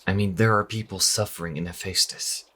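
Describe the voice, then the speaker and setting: A man playing a troubled young priest losing faith in his church, speaking earnestly and haltingly in an audio drama. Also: low-pitched, steady tone, bright, forceful tone. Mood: distressed, earnest.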